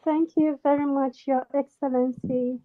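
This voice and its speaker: A woman moderating a question session, politely and briskly passing the floor to the next speaker. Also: high-pitched, steady tone, phone-quality sound. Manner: brisk, polite hand-offs.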